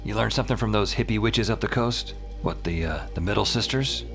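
A man's voice: on the low side, brisk, vocal fry. A man acting a wry, slangy urban fantasy hero who narrates his own story in an audio drama. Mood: concerned, confiding. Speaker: lead actor and narrator. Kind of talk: audio fiction acting with first-person narration. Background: loud music and effects.

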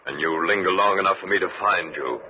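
An actor playing a stern, ghostly rider who judges the guilty, solemn and commanding in 1940s radio style. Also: male voice, sits low, quick pace, natural voice. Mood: stern, accusing. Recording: muffled, noisy 1940s radio recording.

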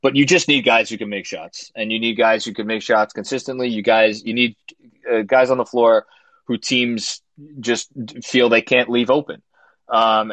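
A middle-aged man hosts a live sports call-in show. He speaks quickly through a run of statistics and keeps things friendly with callers. His voice sits in a middle range and is recorded close up with no room sound. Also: mid-range voice, a bit bright, dry recording, poor audio. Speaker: host.

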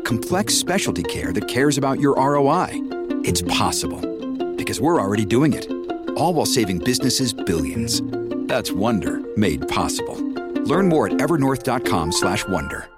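A man's voice: mid-range voice, very animated, normal speed. A man reading a scripted advertisement as a commercial announcer. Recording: loud guitar music behind.

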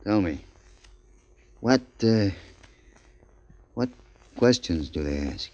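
A nervy, shifty fortyish pool hall owner. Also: male voice, lowish voice, expressive, a little mellow. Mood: wheedling. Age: 40s. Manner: halting, anxious questions with stammering restarts. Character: big fortyish pool hall owner, nervous, then menacing. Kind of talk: 1940s radio acting. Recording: dull lo-fi 1940s radio recording.

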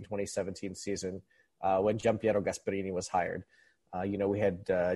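Male, 30s, from the Philippines, lowish voice, casual football podcast guest. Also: a little mellow, breathy edge. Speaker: podcast guest, football supporters' club member. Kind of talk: casual conversational sports commentary.

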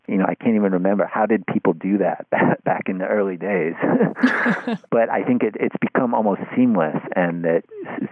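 A man giving conversational commentary as an interview guest, haltingly searching for words and repeating them as he goes. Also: deep voice, dry recording. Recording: tinny phone-like line.

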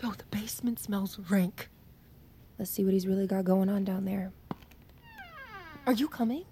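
A defiant young woman played by an actress in an audio drama, freshly out of the army and suspicious of everyone. She speaks bluntly and sarcastically at a medium pitch, with some noise behind her. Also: fairly even intonation, normal speed, mellow, lightly acted. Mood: hushed, insistent. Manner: sarcastic, foul-mouthed, blunt. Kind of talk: naturalistic audio drama acting.

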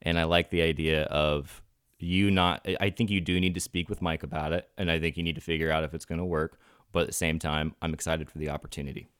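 A man's voice: extremely low pitch, flat delivery, quick pace, a bit bright, clear, resonant tone, no room sound. A man co-hosting a casual podcast livestream from his in-laws' home, teasing his co-host with dry humor. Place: in-laws' home, Palm Springs.

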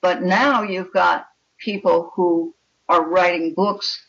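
A woman's voice: fairly low pitch, fairly even intonation, slow pace, vocal fry. An older woman speaking as a guest author in a conversational interview, with an opinionated, emphatic and instructive manner. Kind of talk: conversational interview talk on writing. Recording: dull, lo-fi connection.

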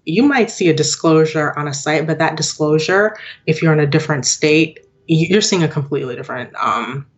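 A woman's voice: low-pitched, fairly quick, few pauses, lo-fi sound. A woman in her thirties, born in Jamaica and raised in western New York, chatting as a guest about her work, laughing often and acting out what others say. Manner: chatty, laughs often, acts out quoted voices. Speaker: guest, digital product owner. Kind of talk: casual interview chat.

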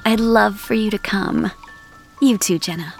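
Audiobook socialite, played by a woman: polished, sugary, insincere, creaky mid-range voice. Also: mid-range voice, measured pace, faint music behind. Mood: breezy, gracious. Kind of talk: audiobook character dialogue.

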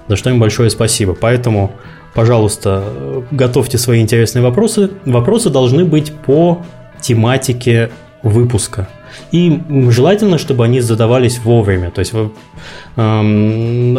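A man co-hosting a live podcast, laying out contest rules in a measured, explanatory way with light jokes. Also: medium pitch, mellow. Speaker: podcast co-host.

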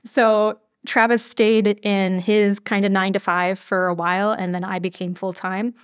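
A woman's voice: mid-range voice, little pitch movement, moderate pace, clean tone, no echo, clean studio sound. A woman talking casually as a guest in an interview about her creative work.

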